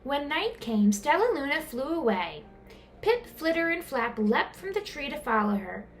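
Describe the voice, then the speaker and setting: A woman reading a children's picture book aloud as an expressive storyteller. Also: high-pitched, moderate pace, slight background noise. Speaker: storyteller, teacher.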